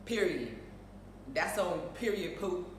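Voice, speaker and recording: A woman's voice: lowish voice, lively intonation, slow. An American woman talks from a little way off in a very echoey room with faint noise behind her. She is a show host chatting casually and bluntly, driving opinions home with emphatic repetition. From United States. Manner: emphatic, outspoken, repeats phrases for emphasis. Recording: roomy echo, distant mic, faint noise.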